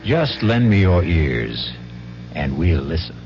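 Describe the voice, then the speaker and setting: An actor playing a wry storyteller host of suspense tales on old radio, with a playful, theatrical delivery. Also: male voice, low-pitched, big pitch swings, slow, heavily acted. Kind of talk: old radio drama hosting. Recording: dull old radio recording, music behind.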